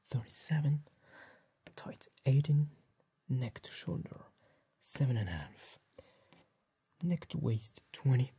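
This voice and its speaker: A young man whispering gently and politely in an ASMR roleplay as a tailor, in a slow, measured, breathy voice close to the mic. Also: mid-range voice. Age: young adult. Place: small indoor tailor workshop set.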